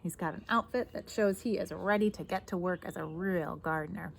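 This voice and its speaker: A woman's voice: lowish voice, a little fast, gravelly, a little hiss or hum. A woman in her thirties reads a picture book aloud like a teacher, expressively, stressing key words and adding asides for young listeners. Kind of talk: children's read-aloud with commentary on the pictures. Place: outdoors in a garden.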